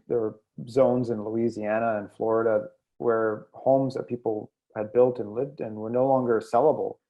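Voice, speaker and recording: A man in a conversational podcast discussion, speaking in a halting, thoughtful way. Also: fairly low pitch, mellow. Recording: slightly dull, lo-fi call audio.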